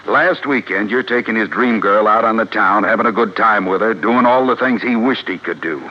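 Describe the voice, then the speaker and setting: An actor playing a blunt, insistent American police detective in a 1950s radio crime drama, slangy and emphatic. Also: male voice, fairly low pitch, normal speed, lightly acted. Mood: insistent, excited. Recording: muffled, noisy old radio recording.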